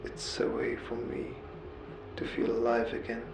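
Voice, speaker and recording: A man giving a heartfelt, confessional voicemail monologue, earnest and reflective, halting as he repeats words and pauses mid-sentence. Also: mid-range voice, gravelly, background noise. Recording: roomy echo, music underneath. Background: music.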